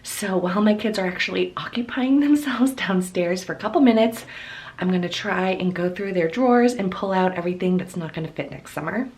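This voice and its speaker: A young mother talks to her camera at home in a hoarse, laryngitis-roughened voice. She is chatty and upbeat and laughs between phrases. Her pitch sits mid-range for a woman. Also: brisk, darkish tone. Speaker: vlogger, mom. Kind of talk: casual day-in-the-life vlog talk. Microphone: handheld camera.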